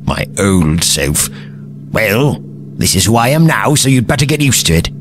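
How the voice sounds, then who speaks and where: A man plays a haughty, crotchety old alien gentleman in an audio drama. His low voice swoops widely in pitch as he fusses in pompous, old-fashioned phrases, with music playing behind him. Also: sing-song, normal speed. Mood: indignant, haughty. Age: older.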